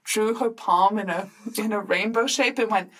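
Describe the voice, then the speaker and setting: A woman's voice: medium pitch, moderate pace, fairly bright tone, rough recording. A woman of thirty-five from Switzerland, co-hosting a podcast and chatting casually and informally about her life, often breaking into giggles. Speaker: podcast host. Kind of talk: casual chat, sharing personal stories.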